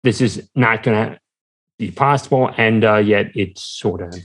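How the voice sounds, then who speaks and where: Man, fairly low pitch, interview guest, casual conversational talk, hesitant, searching for words. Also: measured pace. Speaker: podcast guest, entrepreneur.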